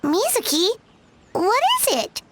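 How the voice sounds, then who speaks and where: A tiny floating fairy sidekick, chirps in a very high, springy voice. She is excitable and blunt and runs out of patience fast. This is game character acting with faint music behind it. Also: heavily acted. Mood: puzzled.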